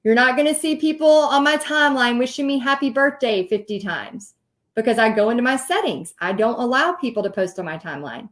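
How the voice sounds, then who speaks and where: A woman's voice: on the high side, lively intonation, a little fast, a bit bright. A middle-aged woman with a Texan accent, talking straight to her viewers in a chatty coaching livestream, laughing often. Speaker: host teaching paint party business. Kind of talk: casual livestream coaching talk.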